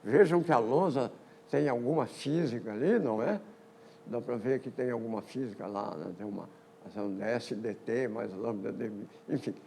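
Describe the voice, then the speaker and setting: An older man, giving a physics colloquium talk on history in a lecture hall, halting often with repeated words and false starts. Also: medium pitch, vocal fry, mellow, slight background noise. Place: lecture auditorium.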